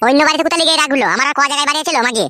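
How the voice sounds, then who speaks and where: A man's voice: very high voice, rapid-fire, sharp tone, no echo, exaggerated voice. A man voicing a harried, foul-mouthed village husband in a comedy cartoon, grumbling coarsely. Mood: exasperated, arguing.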